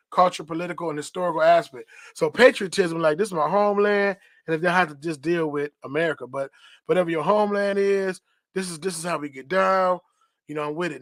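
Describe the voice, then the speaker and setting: A man's voice: high voice, a bit bright, no room sound. A man hosting a religious podcast, teaching in a preachy, repetitive way, tagging points with "right?" and reading definitions aloud. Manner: reads dictionary definitions aloud. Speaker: podcast host.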